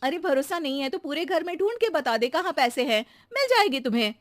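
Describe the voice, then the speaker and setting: A woman's voice: sits high, animated, fairly quick, no room sound, clean studio sound, pitched above the performer's own voice. A woman voicing a practical housewife in a comedy cartoon, bantering expressively with those around her. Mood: teasing. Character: practical housewife, amused yet easily irked employer. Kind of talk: Hindi cartoon comedy acting.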